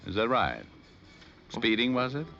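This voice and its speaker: An actor playing a cool, sardonic private detective in an old radio drama, with a dry, offhand delivery. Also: male voice, medium pitch, sing-song, measured pace, vocal fry, sharp tone, highs cut off, faint music behind, full character voice. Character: wisecracking, cool-headed male private detective. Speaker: lead actor and first-person narrator in a radio detective drama. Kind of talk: old time radio detective acting and narration. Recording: noisy, band-limited vintage radio recording.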